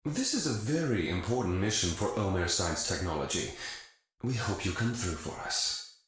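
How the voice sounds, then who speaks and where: Smooth corporate broker, game briefing, lively intonation, very echoey. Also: male voice, low voice, normal speed. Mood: businesslike, persuasive. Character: male corporate mercenary broker, businesslike and smooth. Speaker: mission briefing officer. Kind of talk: scripted game mission briefing. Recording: very roomy echo, loud music behind.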